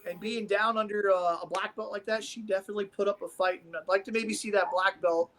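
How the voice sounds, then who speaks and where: A man's voice: high voice, fairly even intonation, fast, fairly bright tone. A man from Nebraska commentating martial arts sparring in an enthusiastic, rambling, coach-like way. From Omaha, Nebraska. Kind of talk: casual sports commentary.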